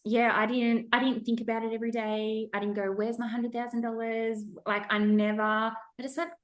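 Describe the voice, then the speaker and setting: A woman's voice: on the high side, level tone, fairly quick. A young adult woman hosting a podcast in casual chat, easygoing and loose, laughing often. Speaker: podcast host.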